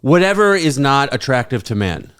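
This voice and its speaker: A man's voice: medium pitch, big pitch swings, moderate pace, a bit bright, clear, resonant tone. A man talking as a guest on a comedy podcast, often stammering and restarting before landing dry jokes. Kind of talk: casual comedic podcast chat.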